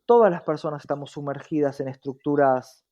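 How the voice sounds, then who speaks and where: A woman's voice: low-pitched, fairly quick, dull audio. A woman with an Argentine accent explaining things conversationally as an interview guest, reflective, often checking in with rhetorical questions.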